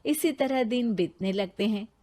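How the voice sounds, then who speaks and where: A woman's voice: on the high side, a little slow, warm tone. A woman narrating a scripted moral story as a storyteller.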